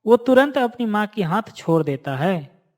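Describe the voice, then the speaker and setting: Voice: mid-range voice, very animated, measured pace. A narrator reading a scripted moral story for a cartoon in a storytelling style.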